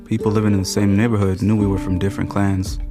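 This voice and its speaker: A man's voice: fairly low pitch, level tone, quick pace, over music. A man giving a first-person personal account as a voice-over in a radio documentary.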